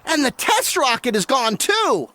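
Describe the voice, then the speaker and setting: An actress voicing an eager, timid young boy sidekick in a comedy audio drama, with excited exclamations. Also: high-pitched, unhurried, dry recording, exaggerated voice.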